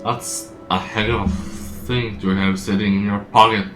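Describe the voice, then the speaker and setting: A man's voice: lowish voice, fairly even intonation, normal speed, fairly bright tone. A man streaming a video game, reading along and reacting casually in a joking, hesitant way. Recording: echoey room, faint game music.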